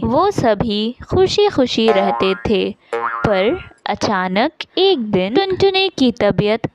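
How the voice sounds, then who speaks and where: A woman's voice: on the high side, no echo. A woman narrating scripted animal stories for children as a storyteller.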